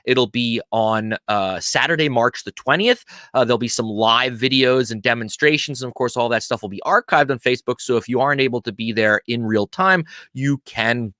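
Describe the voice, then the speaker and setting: A man's voice: mid-range voice, normal speed, few pauses, pushed and bright, no room sound, clean studio sound. Chatty male live-stream host. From Pennsylvania. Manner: friendly, chatty, greets viewers directly. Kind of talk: casual live-stream hosting.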